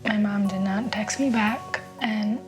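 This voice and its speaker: A teenage girl, a high school senior, speaking to camera in a hesitant, halting way. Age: teens. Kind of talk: confessional documentary. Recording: documentary music bed over voice. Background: music.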